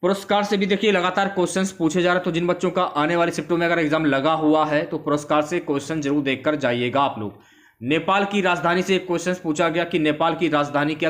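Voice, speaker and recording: A man's voice: on the high side, a bit bright. A man giving an informal exam-prep lecture, explaining questions and answers and repeating key phrases for students.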